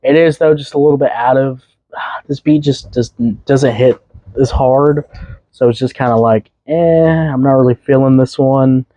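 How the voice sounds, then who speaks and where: A young man hosting a casual music review podcast, his voice a bit hoarse, rambling loosely with fillers and restarts. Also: mid-range voice, fairly even intonation, unhurried, warm tone, dry recording, rough recording. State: voice slightly hoarse.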